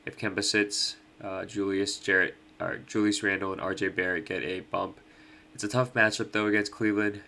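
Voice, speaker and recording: Young adult male host, nasal and stuffed up, low-pitched, loose casual delivery. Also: creaky, sharp tone, a little hiss or hum. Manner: laid-back, many ums and uhs. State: nasal from allergies. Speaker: fantasy sports host. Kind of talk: casual picks breakdown.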